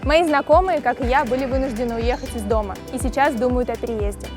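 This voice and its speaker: Russian-accented woman hosting, high voice. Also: fast talker, music in the background. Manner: simple broken English questions, fluent in her native language. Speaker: travel show host. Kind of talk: vlog narration and interviewing.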